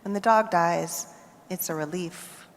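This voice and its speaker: An American woman reads her poetry slowly and deliberately, letting each line settle before the next. Her voice sits a little low, and a long reverberant hall tail trails after her words. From United States. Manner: measured, deliberate phrasing with pauses between lines. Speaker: poet. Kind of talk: poetry reading. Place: festival reading hall.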